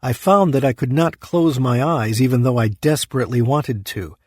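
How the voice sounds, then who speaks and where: Husky-voiced actor as a damned, scheming man. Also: medium pitch, moderate pace, no echo, studio quality, close to an everyday voice. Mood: tense, anxious. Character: scheming damned man, anxious, self-pitying, ambitious underling. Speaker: protagonist telling his own story. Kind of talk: audio drama, first-person scripted narration.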